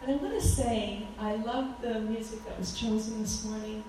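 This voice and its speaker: A woman in her forties with a loud voice, giving a fervent talk as a guest speaker at a church, with frequent ums. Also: fairly high pitch, fairly even intonation, normal speed, background noise. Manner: earnest, fervent, with many ums. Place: church hall. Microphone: handheld microphone. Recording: echoey hall from far off.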